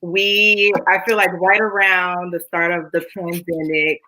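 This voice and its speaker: Bright-voiced woman from New Orleans. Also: fairly low pitch, measured pace, low-quality recording. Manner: animated storyteller, lots of "like". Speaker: podcast guest, works in education. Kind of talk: casual storytelling.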